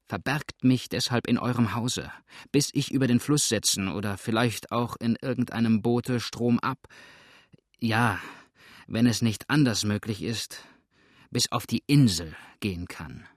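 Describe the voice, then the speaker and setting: A man's voice: mid-range voice, a little slow, dry recording, studio quality, natural voice. A man voicing a hunted, ruthless outlaw in an audiobook, grim and threatening, with a sneering edge. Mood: bitter, weary. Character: hunted, ruthless male fugitive outlaw, exhausted and menacing. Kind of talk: audiobook character acting.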